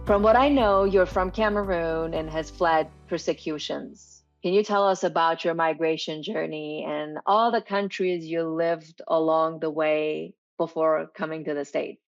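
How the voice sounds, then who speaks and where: A woman's voice: low-pitched, steady tone, measured pace, few pauses, dull audio, soft background music. A woman hosting an interview podcast, speaking in a prepared presenter style. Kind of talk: scripted podcast introduction and interview.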